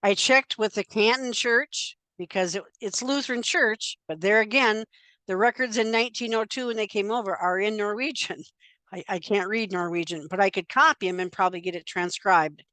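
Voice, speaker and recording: Older woman presenting, smooth voice. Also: medium pitch, moderate pace, pushed and bright, dry recording, poor audio. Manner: conversational and chatty, with frequent fillers. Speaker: genealogy presenter. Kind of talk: informal slide presentation.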